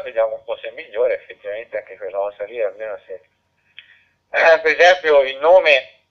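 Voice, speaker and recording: Male radio amateur, casual rambling delivery with repeated words, heavily band-limited two-way radio sound. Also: fairly low pitch. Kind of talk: casual radio contact chat. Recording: muffled narrowband digital two-way radio.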